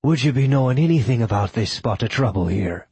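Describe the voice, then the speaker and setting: Man acting male werewolf lawyer, audiobook character, put-on Irish lilt, brisk, formal, probing, dry sound. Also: mid-range voice, animated, warm tone, husky, no echo. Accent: faux Irish.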